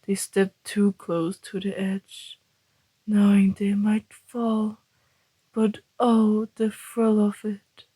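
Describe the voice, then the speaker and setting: A woman playing a suave, teasing male vampire in an ASMR roleplay, speaking in a breathy, deliberate, flirtatious half-whisper. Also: mid-range voice, completely flat delivery, warm tone, dry recording, poor audio. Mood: teasing, intrigued.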